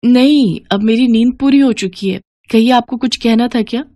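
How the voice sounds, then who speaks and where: A woman's voice: a little high, normal speed, dark tone, no echo, lightly acted. A woman voicing a naive, sweet young new bride, polite and earnest, in a cartoon story. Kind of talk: Hindi cartoon story voice acting.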